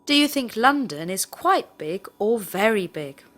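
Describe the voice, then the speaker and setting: A woman's voice: mid-range voice, sing-song, a little slow, fairly bright tone. A woman in the role of a language teacher, reading scripted questions with careful phrasing. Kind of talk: scripted question drill, read aloud.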